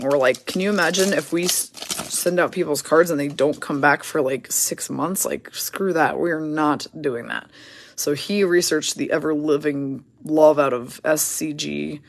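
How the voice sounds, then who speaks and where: Hoarse woman, quick listing of names, dry close sound. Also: sits low, no echo. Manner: rattles off player names and teams in quick lists. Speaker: card break host. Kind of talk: casual card-opening commentary.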